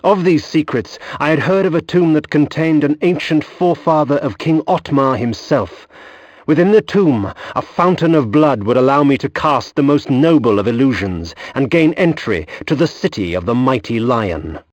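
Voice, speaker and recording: An actor playing a proud, disdainful undead nobleman who narrates a video game in bitter, eloquent, measured phrases. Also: a little high, expressive, a little mellow, no echo, muffled recording. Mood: brooding, disdainful. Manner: haughty, measured, grandiloquent.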